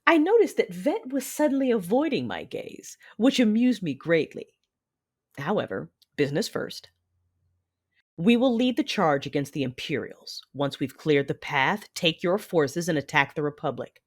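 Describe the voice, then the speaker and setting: Casting: actress for armored dark-side matriarch, imperious and calculating, narrates dramatically with wide pitch swings. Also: female voice, very animated, husky. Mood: commanding. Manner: measured, imperious. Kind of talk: first-person dramatic narration.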